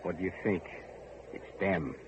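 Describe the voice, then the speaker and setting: A bitter old manservant taunts with menace in a rock-bottom voice. His words are drawn out for emphasis in vintage radio horror style. The recording is dull and clearly noisy. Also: sits low, unhurried. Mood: sly menace. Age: older. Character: bitter, vengeful old male servant consumed by hatred. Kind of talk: old-time radio horror drama acting.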